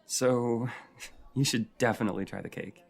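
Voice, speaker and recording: A man playing a shy, sweet writer in an intimate audio roleplay, chatting in a hesitant, rambling, softly flirty way. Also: medium pitch, steady tone, moderate pace, creaky, slightly rough. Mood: playfully flirty. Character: shy, rambly, sweet regular writing in a coffee shop.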